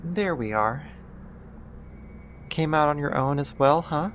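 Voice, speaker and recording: Performer as obsessive yandere captor for ASMR roleplay: mid-pitched, slow, doting yet menacing, some noise behind the voice. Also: expressive. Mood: doting, controlling. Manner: soft coaxing, doting, deliberate with pauses. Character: possessive, syrupy yandere neighbor turned kidnapper, coaxing and menacing. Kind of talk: ASMR roleplay, intimate scripted monologue.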